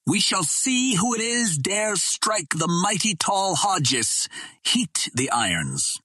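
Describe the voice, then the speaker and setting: A man's voice: high-pitched, very animated, takes it slowly, bright, forceful tone, husky, dry recording, pitched above the performer's own voice, heavily acted. A man voicing a huge, raging alien warrior king who shrieks and roars commands in an audiobook adventure. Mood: furious, commanding.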